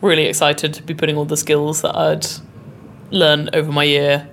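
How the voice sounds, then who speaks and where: Deep-voiced young British woman. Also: level tone, normal speed, gravelly. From United Kingdom. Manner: chatty, bubbly, uses "like" and "kind of". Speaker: mezzo-soprano. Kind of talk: interview. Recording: faint background noise.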